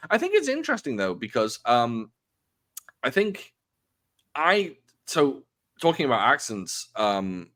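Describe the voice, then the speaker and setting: A man's voice: medium pitch, wildly swooping pitch, unhurried, pauses often, fairly bright tone. A man from North Wales with a British accent that sounds more English than Welsh, chatting casually and repeating words as he explains. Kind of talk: casual chat.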